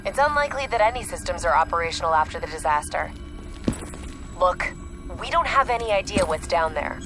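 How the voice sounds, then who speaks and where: An actress playing a composed, businesslike intelligence operative in a video game, speaking in a clipped manner. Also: female voice, on the low side, lively intonation, fairly quick, close to an everyday voice. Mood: firm, guarded. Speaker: mission handler. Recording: game music behind the voice.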